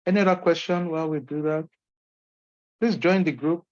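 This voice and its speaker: Man, Nigerian accent, encouraging instructor, slightly high voice, muffled video call sound. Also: moderate pace. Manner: encouraging, emphatic, folksy asides. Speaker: course instructor. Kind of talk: online Q&A session.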